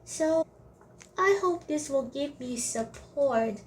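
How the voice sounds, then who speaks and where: A woman's voice: high-pitched, expressive, slow, a little mellow, slight background noise. A woman giving casual commentary as she records her video game playthrough. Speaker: gameplay video creator. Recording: game music behind the voice. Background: game music.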